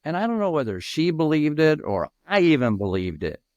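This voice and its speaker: A man over fifty answering interview questions as a peer mentor, speaking earnestly and haltingly with many restarts. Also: fairly high pitch, big pitch swings, a little mellow, no echo, dull audio.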